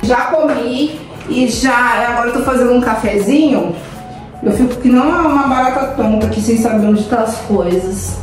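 A woman's voice: fairly high pitch, moderate pace. A Brazilian woman chatting casually and dramatically to camera and a friend in an echoey kitchen, heard from a distance. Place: home kitchen, indoors. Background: music.

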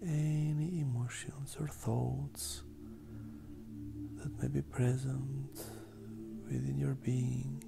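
Very slow, near-whispered meditation guide. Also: medium pitch, level tone. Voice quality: soft, breathy, often near-whisper. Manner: gentle, unhurried, long pauses. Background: ambient meditative music.

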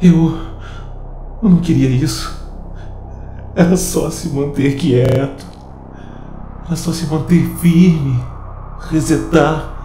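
A man's voice: on the high side, steady tone, slow, long pauses, dark tone. A man voicing a frightened, pleading fragment of an AI in an audio drama. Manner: pleading, shaky. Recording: roomy echo, faint music.

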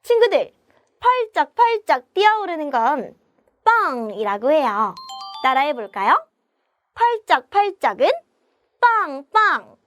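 A woman telling a story as a children's language teacher, with clear, deliberate teaching and repeat-after-me prompts. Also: high voice, a bit bright, no echo, clean studio sound. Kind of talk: animated storytelling lesson for young children.

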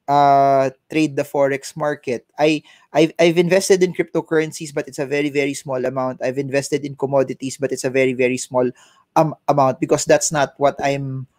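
A man's voice: a little high, a little mellow, dry recording, clean studio sound. A man in his thirties with a Filipino accent, a financial adviser giving advice by video call from a home office, mixing in Tagalog and restarting his words. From Philippines. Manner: mixes in Tagalog, quick restarts and repeated words. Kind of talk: casual advice interview. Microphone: headset mic, video call.

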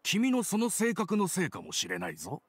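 Stern, reluctant older businessman for an anime game. Husky, animated, lecturing. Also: high-pitched, racing, heavily acted. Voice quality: husky, gravelly. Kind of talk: Japanese anime game voice acting.

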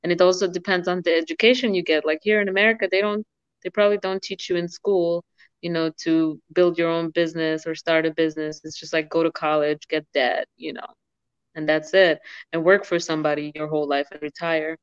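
A woman's voice: deep voice, fairly even intonation, fairly quick, clear, resonant tone, no echo. A young woman from Sudan chatting as a guest on a video call, laughing easily. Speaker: guest business owner. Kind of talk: casual video call interview. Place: home room, indoors. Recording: dull lo-fi video call audio.

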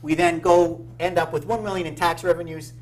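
A man's voice: fairly high pitch, fairly even intonation, brisk. A man hosting a local talk show, skeptical and jokey, often cutting in. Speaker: host.

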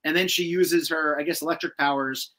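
Bright-voiced man in slangy podcast chat. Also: on the high side, brisk, low-quality recording. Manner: animated retelling, slangy, profane. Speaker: host. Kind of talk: casual chat recapping a cartoon episode. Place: indoor room with shelves of collectibles, on a video call. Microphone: video call.

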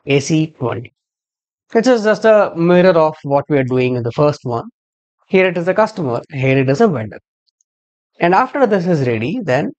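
A man giving a screen-share software tutorial as a trainer, explaining step by step and spelling out codes. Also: fairly high pitch.

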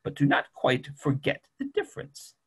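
A middle-aged actor playing a kindly, reflective old doctor in a stage play reading. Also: male voice, fairly high pitch, sing-song, normal speed, a bit bright, slightly rough, full character voice. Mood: gently reasoning. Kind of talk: stage play reading over video call. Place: small room, video call.

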